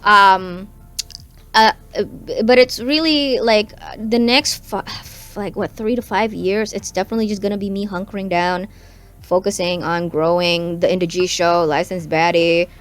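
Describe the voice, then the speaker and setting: A woman's voice: mid-range voice, fairly even intonation, moderate pace, clear, resonant tone. A woman who is a content creator with English as her first language, chatting casually and brashly on a podcast, swearing freely. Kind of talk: casual podcast chat mixing English and Indonesian.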